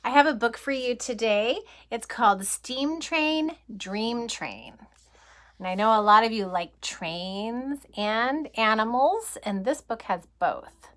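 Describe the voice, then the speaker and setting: Kindergarten teacher type, woman, unhurried storybook read-aloud with a crisp, bright tone. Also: fairly high pitch. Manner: gentle, expressive storybook reading with questions to children. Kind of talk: children's picture book read-aloud.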